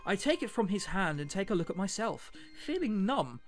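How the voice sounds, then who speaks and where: A man's voice: sits high, big pitch swings, moderate pace, soft background music. A British man hosting a let's play, reading a visual novel aloud and adding chatty, jokey commentary. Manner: jokey, chatty asides between read passages.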